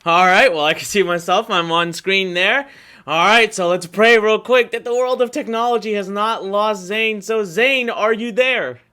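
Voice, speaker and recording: Excitable high-voiced man hosting a webinar. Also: normal speed, smooth. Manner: excitable, gushing. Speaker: webinar host.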